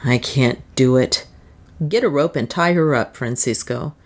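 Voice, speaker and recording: A grim adventurer man voiced by a woman narrator in a storybook tale. The voice drops low and turns curt, dry and commanding, with a cold edge. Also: unhurried. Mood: desperate, grim.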